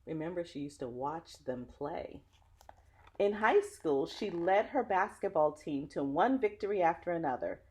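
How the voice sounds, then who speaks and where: A woman with a lowish voice reads a picture book to children. Her intonation swings widely and her phrasing is dramatic. She addresses young listeners directly, in the way of a teacher at story time. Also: animated, normal speed, a little mellow. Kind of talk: children's read-aloud storytelling with asides to listeners.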